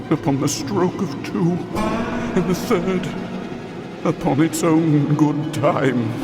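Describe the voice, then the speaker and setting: Radio drama casting: anguished dead partner's ghost, extremely slow and portentous, music swelling loudly beneath. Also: male voice, on the high side. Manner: slow, halting, portentous, drawn-out delivery. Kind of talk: theatrical radio-play acting. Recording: music under the voice.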